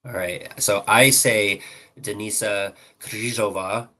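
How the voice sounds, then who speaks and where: A man's voice: fairly low pitch, steady tone, takes it slowly, sharp tone. A man talking as a guest analyst on a hockey podcast, hesitant, with many ums and restarts. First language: not Czech. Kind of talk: podcast interview chat.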